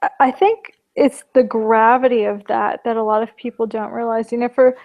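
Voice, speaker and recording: A woman's voice: fairly high pitch, fairly even intonation, normal speed, lo-fi sound. A woman hosting an interview, asking questions and reflecting sympathetically. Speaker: interview host. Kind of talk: casual interview talk.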